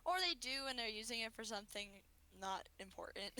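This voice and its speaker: A woman's voice: on the high side, brisk, pushed and bright. A woman joking casually with friends during a game stream, playful and mock-serious. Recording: clearly noisy mic with clicks.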